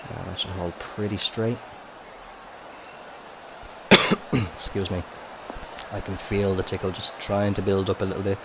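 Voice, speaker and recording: A man with a fairly low voice talks through a game in relaxed, rambling commentary, his throat slightly rough from a cold. He speaks into a headset mic in a room at home, with a faint hiss of noise underneath. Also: measured pace, pauses often. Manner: easygoing, chatty, frequent fillers and restarts. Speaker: gaming commentator. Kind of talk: casual gameplay commentary. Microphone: gaming headset.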